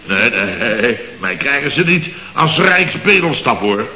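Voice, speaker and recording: Brief: self-satisfied blustering builder boss, lively delivery, vintage radio comedy. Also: male voice, medium pitch, a little slow, full character voice. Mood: boastful blustering. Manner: pompous, rambling, self-satisfied musing. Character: pompous, boastful middle-aged male building boss. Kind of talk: 1960s radio comedy acting. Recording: dull, echoey old radio studio recording.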